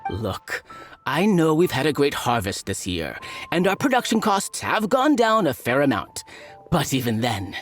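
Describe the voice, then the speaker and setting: Affable, firm merchant, lively intonation. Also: male voice, fairly high pitch, heavily acted. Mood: considering, businesslike. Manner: measured, courteous, businesslike. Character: affable but firm adult male drinks merchant. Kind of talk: video game cutscene acting. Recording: music behind the voice.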